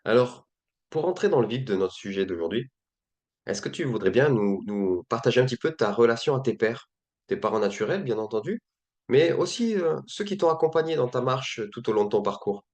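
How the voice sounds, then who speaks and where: A man hosting a faith-based interview, speaking hesitantly with frequent pauses and fillers. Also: mid-range voice, expressive, darkish tone.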